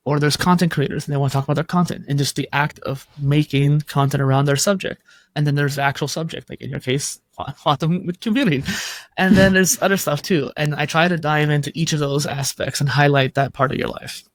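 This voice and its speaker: A man's voice: fairly high pitch, dry recording. A man hosting a podcast over a video call, talking in a loose, rambling conversational way with lots of "like". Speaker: podcast host. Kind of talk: casual interview conversation. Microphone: video call microphone.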